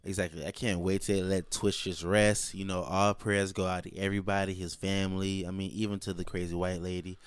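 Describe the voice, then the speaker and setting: A man's voice: low voice, little pitch movement, fairly quick, hardly any pauses, clean tone, dry recording. A man co-hosting a podcast with casual, slangy, disapproving commentary, often repeating his phrases. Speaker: podcast co-host.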